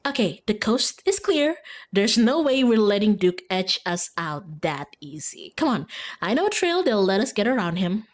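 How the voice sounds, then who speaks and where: A woman's voice: medium pitch, big pitch swings, moderate pace. A woman voicing an eager, determined young female video maker who hunts monsters, earnest and insistent, in a game. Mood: conspiratorial, eager.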